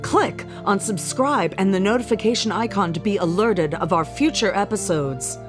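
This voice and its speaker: A woman narrates a radio drama from a script in a medium-pitched voice. Music plays clearly behind her. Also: expressive, moderate pace.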